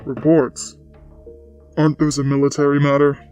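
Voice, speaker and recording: A man playing an aloof, coolly commanding warrior master in a dramatic comic dub, measured and dismissive in manner. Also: a little high, flat delivery, darkish tone, close to an everyday voice. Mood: dismissive, irritated. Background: music.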